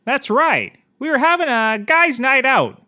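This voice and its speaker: An actor playing a bumbling, cheap private eye, stammering and second-guessing himself in a scripted comedy. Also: male voice, extremely high pitch, sing-song, a little slow, pushed and bright, heavily acted. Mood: flustered. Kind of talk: scripted audio comedy courtroom acting.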